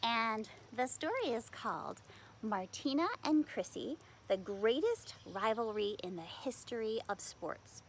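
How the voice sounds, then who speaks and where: A woman reading a children's book aloud like a teacher, in an animated, conversational way with emphatic stress on repeated words. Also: fairly high pitch, measured pace, slight background noise.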